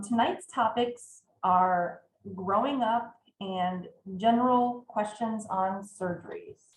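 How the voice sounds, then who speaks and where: A woman in her forties hosting a live podcast chat, talking in a halting way with many filler ums. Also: medium pitch. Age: 40s. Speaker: podcast host. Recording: echoey room with faint ambience.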